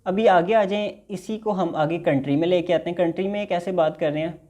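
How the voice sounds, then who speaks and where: A man's voice: high voice, brisk, mellow. A man teaching basic English in a recorded lecture, patiently explaining and reading example dialogues aloud.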